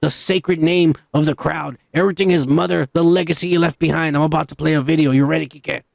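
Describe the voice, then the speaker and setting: A man's voice: a little high, fairly even intonation, fast talker, creaky, dry recording. A man hosting a podcast, ranting casually with plenty of swearing and mockery. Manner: profane, mocking rant. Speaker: podcast host.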